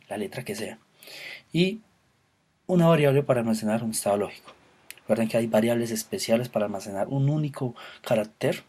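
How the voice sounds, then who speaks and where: A man teaching an introductory programming course. His voice is husky and a little rough at the edges, at a middle pitch for a man. He explains step by step, with filler sounds and quick self-corrections. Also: darkish tone. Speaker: programming instructor. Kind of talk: recorded lecture.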